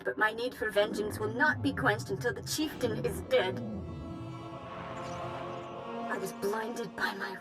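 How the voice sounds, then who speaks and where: An actress playing an anguished, vengeful young warrior apprentice in a video game, intense and dramatic. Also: female voice, mid-range voice, natural voice, poor audio. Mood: bitter despair. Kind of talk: video game character monologue. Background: music.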